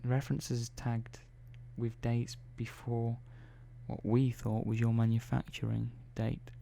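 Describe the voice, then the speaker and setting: A man playing a calm, methodical robot engineer in an ASMR roleplay, speaking softly and slowly with hesitant pauses. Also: medium pitch, creaky, slight background noise. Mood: confiding. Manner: soft-spoken, slow and hesitant, many pauses and "well"s.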